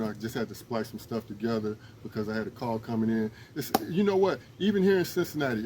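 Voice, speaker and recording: A man's voice: mid-range voice, slight background noise. Earnest man making a rambling appeal. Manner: earnest, pleading, rambling with restarts. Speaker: emergency management instructor. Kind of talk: casual direct-to-camera appeal.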